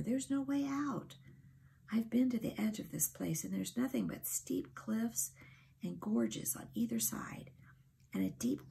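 A woman's voice: a little high, vocal fry, mellow, voice pushed up, heavily acted. A woman voicing a stern little male dormouse who talks in a hissing whisper.

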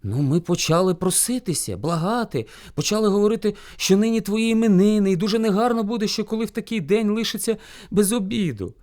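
A man voicing a small, sly hare in a folk tale, servile, meek and flattering as he pleads with his lord. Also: high voice, fairly quick, voice pushed up. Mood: wheedling. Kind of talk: animated folk tale storytelling.

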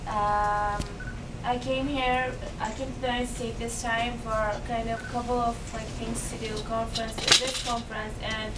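Young Iraqi woman, English, earnest and halting, slightly high voice; distant camera audio in a noisy, roomy meeting room. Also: level tone. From Iraq. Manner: earnest, halting, many restarts and fillers. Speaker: guest speaker. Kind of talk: unscripted talk to a meeting. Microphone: far camera.

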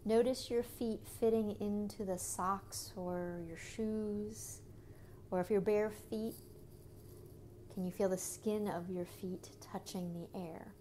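A woman leading a guided body scan meditation in a gentle, unhurried manner, with soft, breathy phrase endings and long pauses between short phrases. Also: mid-range voice, fairly even intonation, a little hiss or hum.

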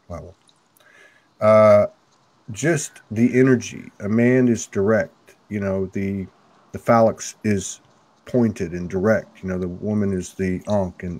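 A middle-aged man with a loud, carrying voice talking earnestly on a video call, full of restarts, through an earphone mic at home. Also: sits low, no room sound. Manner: earnest, halting, many restarts. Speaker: host. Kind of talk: spiritual video call conversation. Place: home room, indoors. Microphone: earphone headset mic on a video call.

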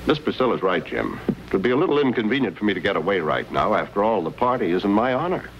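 An actor playing a courteous middle-aged gentleman doctor in an old western, genial, measured and formal. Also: on the low side, big pitch swings. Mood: genial, hedging. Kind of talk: 1950s television western acting. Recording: muffled, hissy old TV soundtrack.

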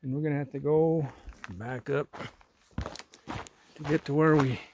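A man's voice: fairly high pitch, fairly even intonation, a little slow. Middle-aged guy hiking and chatting, points stuff out, faint hiss outdoors. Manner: chatty, easily impressed, pointing things out. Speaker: vlogger. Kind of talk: casual hiking commentary. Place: outdoors on a rocky desert trail.

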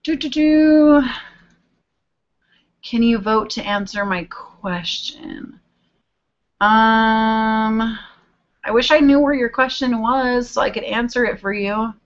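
A woman's voice: a little high, slow pace, pauses often, lo-fi sound. A woman in her twenties chatting casually from her bedroom, answering viewer questions and humming while she searches. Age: 20s. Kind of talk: casual chat. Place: small bedroom, indoors. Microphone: webcam video call.

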